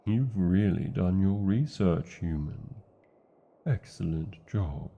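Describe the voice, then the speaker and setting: Man voicing a deep, dark British dragon lover. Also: slow pace, creaky, highs cut off, slight background noise, exaggerated voice. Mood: wistful. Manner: tender, theatrical, formal wording. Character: large injured male dragon, devoted, proud romantic lover. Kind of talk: fantasy ASMR roleplay.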